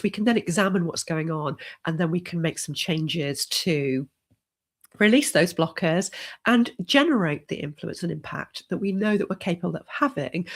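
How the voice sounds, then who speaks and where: Middle-aged woman, leadership coach with a cold, low gravelly voice, steady and encouraging. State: has a cold. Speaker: leadership coach hosting a live stream. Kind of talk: solo live stream talk.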